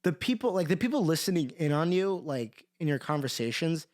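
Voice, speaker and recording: A man's voice: fairly high pitch, very animated, a little fast, a little mellow. A tired young man co-hosting a podcast, chatting casually in a rambling way with lots of swearing and filler words. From Iran. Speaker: podcast co-host. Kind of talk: casual chat.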